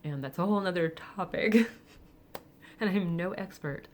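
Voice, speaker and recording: A woman of forty speaking candidly and reflectively in a personal vlog, with soft laughs and hesitant fillers. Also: on the low side, normal speed, slight background noise. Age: 40s. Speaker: vlogger. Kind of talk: personal confessional monologue.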